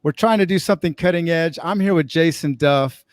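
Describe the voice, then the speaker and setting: A man's voice: on the high side, a little fast, dry recording, clean studio sound. A man casually hosting a live online event as its master of ceremonies, with an enthusiastic manner.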